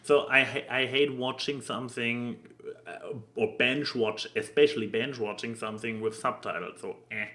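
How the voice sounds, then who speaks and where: A man from Germany with a German accent, chatting casually as a podcast co-host in a halting, rambling way. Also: medium pitch, fairly even intonation, pushed and bright. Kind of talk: casual chat.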